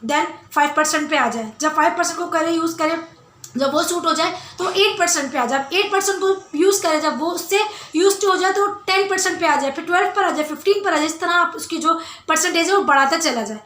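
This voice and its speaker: A woman with a loud voice talking casually to her viewers as a beauty vlogger, emphatic and insistent, repeating phrases for stress. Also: very high voice, fairly quick. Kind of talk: casual skincare Q&A talk to viewers. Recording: roomy, echoey indoor sound.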